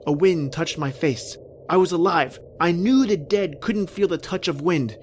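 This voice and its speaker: A man's voice: a little high, unhurried, slight background noise, pitched above the performer's own voice, close to an everyday voice. A man playing a tormented, lost husband recounting his doom in a brooding, dramatic old-time radio horror style. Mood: frightened, bewildered.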